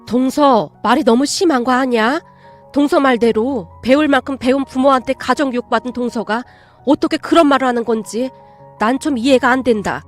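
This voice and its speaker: A woman playing a firm, calm mother. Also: high voice, soft background music, lightly acted. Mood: reproachful. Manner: measured, reproachful.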